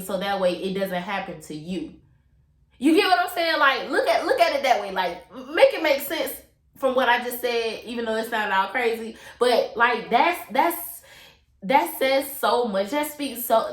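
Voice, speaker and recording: A woman's voice: on the high side, a bit bright, reverberant. A young woman hosting her own podcast, chatting casually with lots of slang and fillers, a little congested from a cold. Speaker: podcast host. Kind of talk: casual solo podcast chat.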